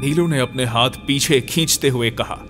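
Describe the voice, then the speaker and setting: A man's voice: medium pitch, moderate pace. A man narrating a scripted horror story dramatically. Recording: music bed behind.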